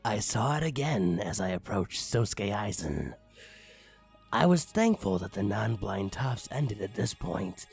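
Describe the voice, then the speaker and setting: A man's voice: fairly low pitch, creaky, darkish tone. A man giving a comedic, mock-dramatic creepypasta narration with crude, swooping emphasis. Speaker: narrator, online story reader.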